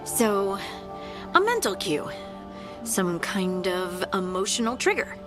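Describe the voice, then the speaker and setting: An animated, earnest young woman scout. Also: mid-range voice, slow. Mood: frustrated, focused. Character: earnest, awkward, cheerful young woman scout with rock-moving magic. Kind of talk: naturalistic video game voice acting. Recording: game music behind the voice. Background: game music.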